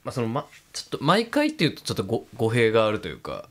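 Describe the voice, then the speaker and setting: A man's voice: mid-range voice, wildly swooping pitch, rapid-fire, a bit bright. A young man, chatting casually as a show host and telling anecdotes in a hesitant, roundabout way. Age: young adult. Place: small indoor studio room. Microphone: studio microphone, headphones.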